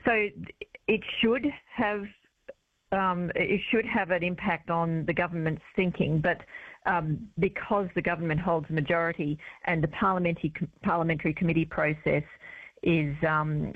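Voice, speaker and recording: Woman, lowish voice, earnest and explanatory, tinny phone line, dry. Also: fairly even intonation, normal speed, dry recording. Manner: earnest, explanatory, with some "um" hesitations. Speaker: advocacy organisation CEO, interview guest. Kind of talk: phone interview.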